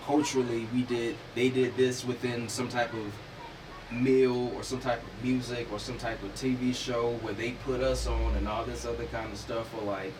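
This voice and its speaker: A man's voice: mid-range voice, level tone, normal speed. A man talking frankly in a casual discussion, musing aloud with the occasional joking aside. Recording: distant mic with noticeable background noise.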